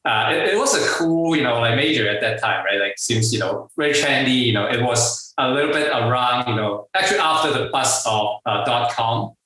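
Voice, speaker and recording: A middle-aged man with a Hong Kong accent and a bright, forward voice tells his story in a halting, filler-heavy way with frequent laughs. He sits some distance from the mic, and the room gives back a strong echo. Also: medium pitch, animated. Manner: halting, many fillers and restarts, laughs often. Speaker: guest entrepreneur. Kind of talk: casual interview storytelling. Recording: echoey room, far from the mic.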